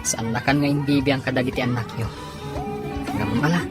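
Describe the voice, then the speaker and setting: An actor with an Ilocano accent playing an inquisitive friend who gives advice over drinks, in a drama. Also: male voice, mid-range voice, fairly even intonation, fairly quick, over loud music, lightly acted. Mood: concerned. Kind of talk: dramatic conversational drama acting.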